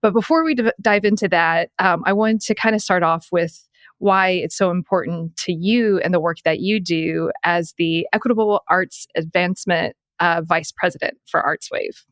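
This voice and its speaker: A woman's voice: fairly low pitch, moderate pace, no echo. A woman hosting an interview podcast, moving between scripted introductions and conversational questions. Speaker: podcast host.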